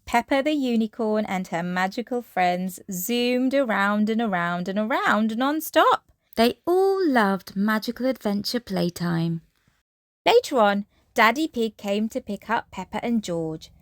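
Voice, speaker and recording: A woman's voice: medium pitch, animated, unhurried, dry recording, clean studio sound. A woman reading a children's bedtime story aloud as narrator.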